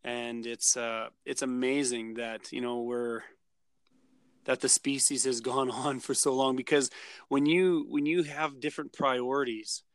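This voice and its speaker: A man's voice: mid-range voice, steady tone, a little slow, no room sound. A man co-hosting a casual podcast discussion, rambling through his thoughts with many restarts. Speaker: podcast co-host.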